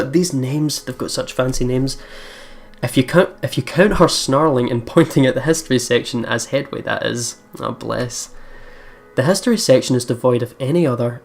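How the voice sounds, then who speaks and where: A man reading a visual novel aloud and reacting in a playful, chatty way, often repeating words. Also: mid-range voice. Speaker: let's player reading and reacting. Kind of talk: playful let's play commentary and read-aloud narration. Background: game music.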